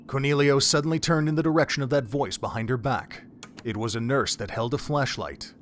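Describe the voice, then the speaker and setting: A man's voice: medium pitch, steady tone, fairly quick, slight background noise. A man narrating a dramatic story from a script, as the narrator of an audio drama.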